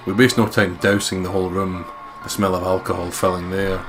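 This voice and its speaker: A man reading a visual novel aloud dramatically as a let's play host, swearing and breaking into excitable joking commentary, with game music behind him. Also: deep voice, brisk. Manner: excitable, sweary, jokey ad-libs.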